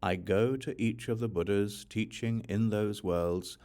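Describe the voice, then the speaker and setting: A man reads a sacred text aloud as an audiobook, in measured, solemn phrases with deliberate pauses. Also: fairly low pitch, mellow. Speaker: audiobook reader of scripture. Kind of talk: scripted reading of a Buddhist sutra.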